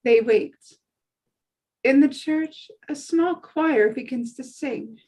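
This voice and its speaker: Woman narrator, slow pace, highish pitch, measured, reading screenplay directions. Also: mellow. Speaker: stage directions reader.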